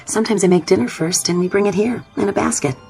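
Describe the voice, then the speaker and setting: An actress playing a gentle, polite, friendly young mother from a small town in a radio play. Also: mid-range voice, fast talker, gravelly, faint music behind, lightly acted. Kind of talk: dramatic radio play acting.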